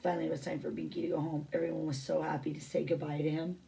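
A woman's voice: low voice, flat delivery, rapid-fire, creaky, warm tone, far from the mic, a little hiss or hum. A middle-aged woman reading a picture book aloud as a teacher, with brief asides.

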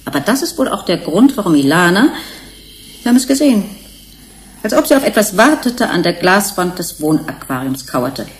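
An actress playing a frank, composed research scientist in a radio play, explaining things emphatically. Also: female voice, lowish voice, very animated, normal speed. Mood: frank, explanatory.

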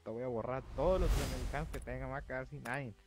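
A man's voice: medium pitch, racing, music in the background. A young man, streaming from a small room at home and casually coaching his teammates through game settings. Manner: laid-back, coaching teammates. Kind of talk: casual gaming chat.

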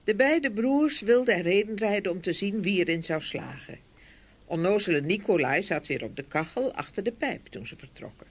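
A woman narrating a scripted fairy tale with expressive storytelling. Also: medium pitch, moderate pace.

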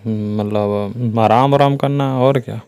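A man's voice: mid-range voice, steady tone, unhurried, a little mellow, clean tone, lightly acted. A man playing a sly flirty village priest with a Bhojpuri accent. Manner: teasing, sly, chatty. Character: flirty lazy male temple priest on a phone call. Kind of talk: scripted comic phone prank.

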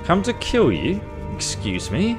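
A man's voice: high-pitched, huge pitch swings, measured pace. A man in his thirties giving casual gameplay commentary with dry, wry humor. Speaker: video game YouTuber. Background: game music.

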